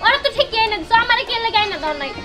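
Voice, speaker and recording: A quick-tempered young wife in a comic cartoon. The voice is extremely high and childlike, whining and combative in colloquial Bangladeshi speech. Loud music runs behind it. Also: animated. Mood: indignant.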